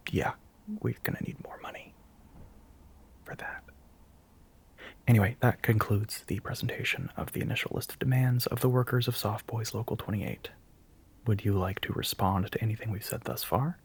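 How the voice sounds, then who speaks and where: A man acting as a nervous young soft-boy spokesman in an audio roleplay. His voice is breathy and often slips toward a whisper. He speaks haltingly and politely, yet with earnest firmness. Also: lowish voice. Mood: awkward, polite. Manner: hesitant, halting, politely firm. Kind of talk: audio roleplay monologue, addressing the listener.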